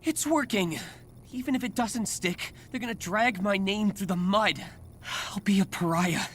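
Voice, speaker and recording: A man's voice: sits high, normal speed, a bit bright, slight background noise. An actor playing an earnest, anxious young male superhero in a video game, hesitant and a little awkward. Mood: panicked. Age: young adult. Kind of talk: naturalistic video game voice acting.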